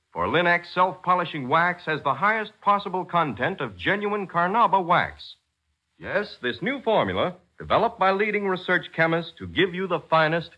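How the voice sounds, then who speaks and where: A man working as a 1940s radio announcer, reading a script with emphatic, salesmanlike delivery and a husky voice. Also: on the high side. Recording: dull, lo-fi 1940s radio recording.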